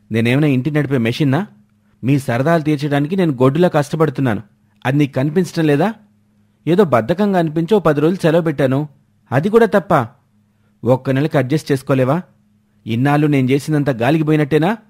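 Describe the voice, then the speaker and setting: A man's voice: fairly high pitch, fairly quick, pauses often, darkish tone, close to an everyday voice. A man voicing a hot-tempered, deceitful husband in a family storybook tale. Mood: angry, defensive.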